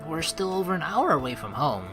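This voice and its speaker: A woman playing through a game as host, reading its text aloud and chatting with playful, expressive commentary. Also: deep voice, brisk, over music. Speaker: let's play host.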